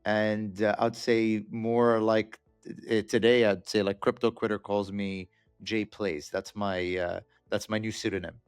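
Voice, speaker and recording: Low-voiced man telling his story. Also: flat delivery, unhurried, smooth, no echo, clean studio sound, soft background music. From Saudi Arabia. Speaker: podcast guest, entrepreneur. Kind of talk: conversational interview, telling own story.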